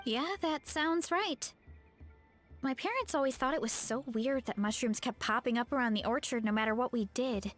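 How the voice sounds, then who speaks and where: An actress voicing a friendly young woman from an orchard family in a game, talking in a relaxed, conversational way. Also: a little high, moderate pace, faint music behind, lightly acted. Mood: thoughtful.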